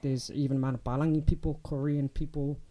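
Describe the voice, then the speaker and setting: Chatty young New Zealand man, mellow voice. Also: fairly high pitch, fast. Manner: relaxed, chatty, many ums and restarts. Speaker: guest. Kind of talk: casual interview chat.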